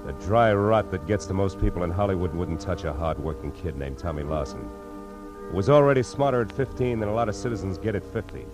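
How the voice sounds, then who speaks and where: A hard-boiled detective in old-time radio style. His low voice is cool and slangy, moving between wry narration and clipped questioning, with music in the recording. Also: animated, a little fast. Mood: steady, wry. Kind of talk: 1940s radio noir, narration and dialogue.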